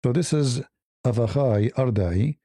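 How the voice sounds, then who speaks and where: A man's voice: medium pitch, expressive, unhurried, mellow, dry recording, clean studio sound. A man hosting a live news commentary stream, blunt and opinionated, rambling with hesitant fillers and speaking English as a second language. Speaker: host.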